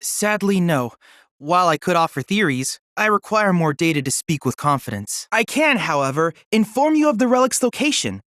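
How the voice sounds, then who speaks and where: An actor voicing an earnest, excitable young android boy researcher in a video game, with precise, formal, polite diction. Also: mid-range voice, normal speed, dry recording, clean studio sound. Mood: regretful, formal. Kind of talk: video game character voice lines.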